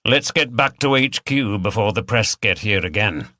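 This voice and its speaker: A senior police detective, acted by a male audiobook reader, brisk and in charge. He gives orders decisively in a bright, forceful tone. The sound is dry and close. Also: lowish voice, expressive, no room sound. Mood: brisk, knowing. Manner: decisive, commanding. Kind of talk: audiobook character dialogue.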